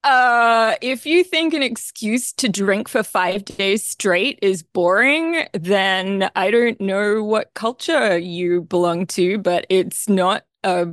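A woman's voice: medium pitch, slow, few pauses, a bit bright, dry recording, studio quality. A middle-aged Australian woman, a composer guest, explaining things emphatically with frequent laughter in a casual interview.

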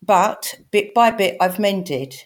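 A woman in her sixties with a croaky voice, candidly telling her own story as a podcast guest. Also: mid-range voice, animated, slow. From England. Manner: straight-talking, candid. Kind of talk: personal storytelling.

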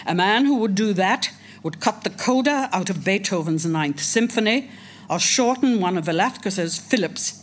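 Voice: medium pitch, a little hiss or hum. A speaker from Ireland, giving a prepared talk in a wry, emphatic manner with ironic asides. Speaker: speaker on a writer. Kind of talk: prepared talk, read aloud.

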